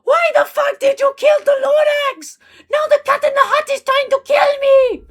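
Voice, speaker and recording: A woman voicing a manic, villainous cartoon man in an exaggerated mock accent, with a strained high voice and loud outbursts. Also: steady tone, normal speed. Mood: furious shrieking.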